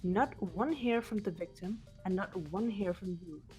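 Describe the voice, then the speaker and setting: Woman playing a cool, deliberate teenage girl detective. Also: mid-range voice, over music, natural voice. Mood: intrigued, composed. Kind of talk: voiced visual novel reading.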